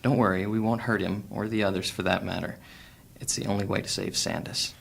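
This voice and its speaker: A man playing a gravelly, cunning thief. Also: fairly low pitch, steady tone, brisk, full character voice. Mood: scheming. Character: wry male thief, guarded and cunning.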